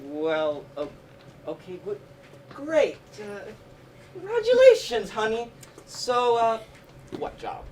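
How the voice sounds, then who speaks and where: A woman's voice: on the high side, big pitch swings, slow pace, long pauses, mellow. A young woman, a student stage actor, playing a domineering, scornful spouse who complains and condescends in a live one-act play. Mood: flustered, coaxing. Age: teenager or young adult student. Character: domineering, fault-finding spouse named Sam, married two years. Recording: distant noisy stage recording.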